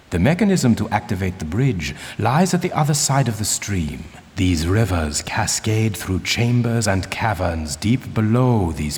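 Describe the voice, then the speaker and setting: An actor playing a stern, condescending older archaeologist in a video game, giving formal, pompous instruction. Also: male voice, on the low side. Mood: instructive. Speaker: mentor and guide. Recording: long cavernous reverb.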